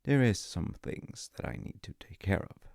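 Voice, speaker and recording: A man voicing a strange, calm male angel in a story, unhurried, formally polite and cryptic. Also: low-pitched, vocal fry, no room sound, clean studio sound.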